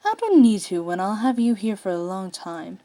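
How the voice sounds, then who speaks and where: A woman's voice: medium pitch, very animated, normal speed, mellow. A woman plays a smug alien prince in a fan fiction story. He taunts and teases, stretching his words as if he owns the room. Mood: teasing. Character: smug, teasing male alien prince villain.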